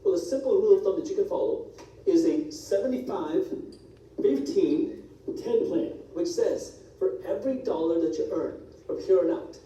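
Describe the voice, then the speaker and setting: A man whose first language is Punjabi, briskly walking viewers through budget numbers in a scripted explainer video. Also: sits high, animated, warm tone, recorded from a distance. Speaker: personal finance educator. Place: played on speakers in a church hall. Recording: video replayed in an echoey hall, heard from afar.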